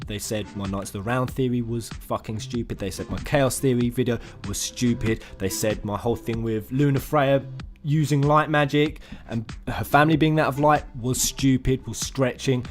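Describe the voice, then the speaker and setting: A man giving casual, sweary gaming news commentary as a channel host, excitable and informal in manner. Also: mid-range voice. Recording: music under the voice. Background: background music.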